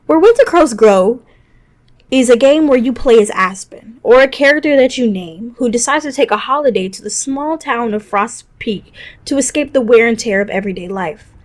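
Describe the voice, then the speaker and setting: A woman's voice: fairly high pitch, expressive, moderate pace. A woman hosting a scripted video game list, narrating in a conversational way with casual asides. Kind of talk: scripted video narration, top-five review. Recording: music behind the voice. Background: background music.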